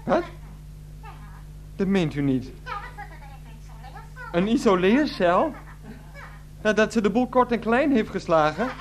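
A man's voice: sits high, very animated, takes it slowly, long pauses, heavily acted. An actor playing an exasperated adult son in a radio play, pleading and placating on the phone with his mother. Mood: shocked, tearful. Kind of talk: radio play acting, one-sided phone conversation.

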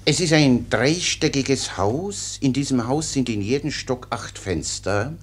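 An actor playing a cheerful, simple-minded soldier who rambles with naive, obedient earnestness in a German radio play. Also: male voice, medium pitch, lively intonation, unhurried, slightly rough. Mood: naively obliging.

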